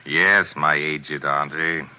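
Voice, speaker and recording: Flippant heartless brother, low pitch, piercingly bright timbre, arch drawl, 1940s radio drama. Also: male voice, fairly even intonation, takes it slowly, slight background noise, full character voice. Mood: mocking. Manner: arch, drawling, mock-grand.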